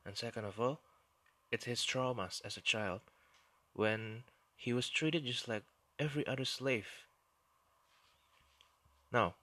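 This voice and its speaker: A man's voice: medium pitch, measured pace, long pauses, bright, forceful tone, no room sound. A man with an Indonesian accent, whose first language is Indonesian, hosting a solo history podcast in an earnest, explanatory way. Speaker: podcast host.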